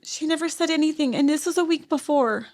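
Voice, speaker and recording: Soft, halting woman with frequent sighs. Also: high-pitched. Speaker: podcast guest. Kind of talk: personal storytelling in conversation.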